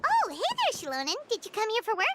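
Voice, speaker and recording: A woman voicing a tiny, sassy fairy companion in a video game, with a squeaky childlike voice and exclamatory, scolding delivery. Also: wildly swooping pitch, fast talker, sharp tone, exaggerated voice. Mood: chirpy. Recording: game music behind the voice.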